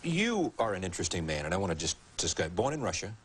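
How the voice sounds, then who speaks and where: A man hosting a television interview, asking brisk questions and prompting often. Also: deep voice, big pitch swings, a bit bright, breathy edge. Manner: brisk, prompting, with frequent yeahs.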